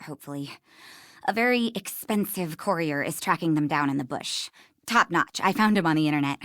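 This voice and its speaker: An American woman acting as a chatty, harried hostess in a video game, expressive, with brittle politeness. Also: low voice, a little fast, no room sound, studio quality. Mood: brittle, indignant.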